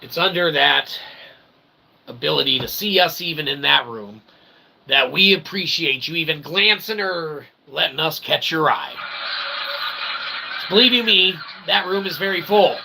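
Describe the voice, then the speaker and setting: A man's voice: fairly high pitch, unhurried, vocal fry, bright, forceful tone, a little hiss or hum, low-quality recording. A man delivering a tongue-in-cheek, rambling philosophical monologue as a channel host, in wordy, convoluted phrasing with frequent check-ins.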